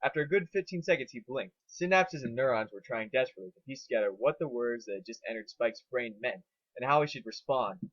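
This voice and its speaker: A man's voice: mid-range voice, lively intonation, fast, a bit bright, husky, dry recording, dull audio. A man giving an amateur dramatic narration of a story.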